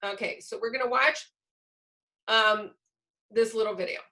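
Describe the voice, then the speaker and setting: A woman's voice: fairly high pitch, fairly even intonation, unhurried, sharp tone. A woman presenting informally to teachers as a trainer over a video call, explaining things and posing questions that she then answers. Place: online video meeting. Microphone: video call microphone.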